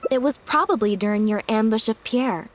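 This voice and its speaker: A woman playing a calm, deductive young detective in a video game, speaking in measured, articulate and deliberate exposition. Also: a little high, close to an everyday voice. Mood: coolly accusing. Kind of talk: scripted video game dialogue. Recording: music behind the voice.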